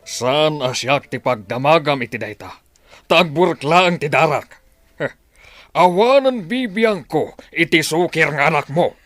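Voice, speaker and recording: A man's voice: fairly high pitch, animated, a little slow, a bit bright, dry recording, studio quality. A man playing a stern, unforgiving middle-aged father in an audio drama. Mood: angry. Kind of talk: Ilocano audio drama acting.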